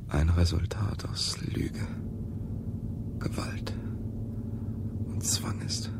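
An actor playing an anguished, devout man under interrogation in a radio drama, speaking in a halting, solemn whisper. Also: rumbling low, vocal fry, full character voice. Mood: anguished indignation. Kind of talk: literary radio drama acting.

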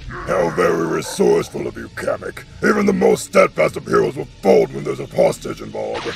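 A man voicing a huge, bullying turtle-dragon king in a cartoon fan dub, with a gravelly growl and blustering, menacing delivery. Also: rumbling low, huge pitch swings, moderate pace, faint music behind, full character voice. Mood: gloating.

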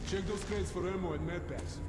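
An actor playing a seasoned Russian military commander in a video game, terse, gruff and watchful, with a Russian accent. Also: male voice, high-pitched, fairly quick, over loud music, close to an everyday voice. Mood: tense, commanding. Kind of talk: video game cinematic dialogue.

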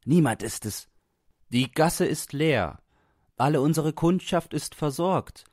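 A stern wife of a shopkeeper, voiced by a male reader, speaks in a raised pitch. She is firm, bossy and dismissive, scolding in an audiobook story. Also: expressive, takes it slowly, a little mellow, no echo, clean studio sound.

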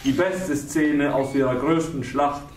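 A man's voice: a little high, a little slow, natural voice. A man playing a veteran who gives a formal, solemn, reverent tribute speech in a music theatre piece. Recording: roomy, distant mic with clear hiss.

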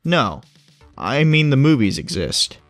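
Guy doing a smug easygoing agent type in an audio drama, middle pitch, bit of music under. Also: very animated, measured pace, fairly bright tone, dry recording. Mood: breezy, amused. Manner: casual, glib, explanatory. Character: breezy, smug male agent who knows hidden things.

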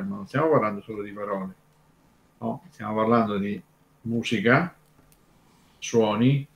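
A man from Terni explains things in an informal radio discussion, halting and discursive, stressing key words. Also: fairly low pitch. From Terni, Italy.

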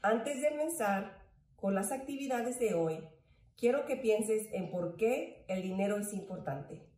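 A woman presents a simple lesson in a soft-toned, mellow voice of middle pitch. She sits away from the microphone, and the room echoes around her. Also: lively intonation, a little slow. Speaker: employee volunteer presenter. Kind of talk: educational presentation to camera. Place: room at home, indoors. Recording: echoey room, distant mic.

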